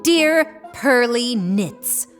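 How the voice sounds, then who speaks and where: A woman's voice: a little high, expressive, soft background music. An actress playing a haughty, demanding woman customer who reads out her complaint in an emphatic, indignant way in an audio drama. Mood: disappointed, stiff. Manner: emphatic, indignant, drawn-out delivery. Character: haughty, demanding, disappointed female online customer.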